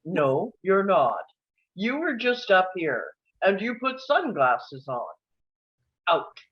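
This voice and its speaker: A woman's voice: on the low side, very animated, slow, lo-fi sound. A woman in a comedy table read playing a strict, exasperated male flight attendant with a firm, officious manner.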